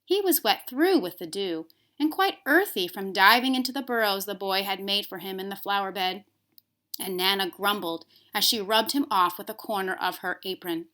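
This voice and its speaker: A woman's voice: medium pitch, normal speed. Woman teacher reading a storybook expressively. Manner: expressive, emphasises key words. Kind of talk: expressive children's storybook narration.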